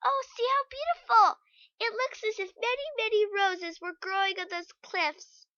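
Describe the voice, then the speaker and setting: A woman's voice: very high voice, moderate pace, no room sound, highs cut off, voice pushed up. A woman reading aloud, voicing an eager, kind little girl who talks in exclamations. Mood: excited. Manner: excited, exclamatory, tender.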